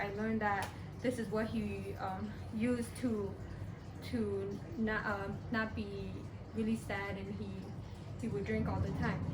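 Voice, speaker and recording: A young woman from Burma giving a class presentation in a halting way, often pausing and restarting. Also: fairly high pitch. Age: young adult. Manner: halting, with frequent pauses and restarts. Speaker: college student presenter. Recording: echoey room, distant mic, background noise.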